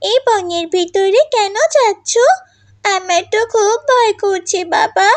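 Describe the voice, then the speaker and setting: A woman's voice: extremely high pitch, slow, dry recording, pitched above the performer's own voice. A woman voicing a frightened little girl in a cartoon, pleading and plaintive. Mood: scared. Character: frightened little girl abandoned by her father.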